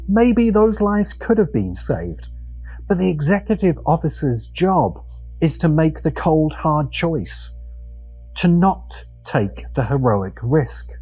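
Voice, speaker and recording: A man narrating a scripted video essay with deliberate, dramatic phrasing. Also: on the high side, dark tone, slightly rough, low-quality recording.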